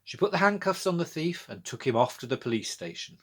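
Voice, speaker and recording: A man's voice: on the high side, sing-song, a little fast, slightly rough. A British man narrating a scripted children's storybook as its author, reading aloud.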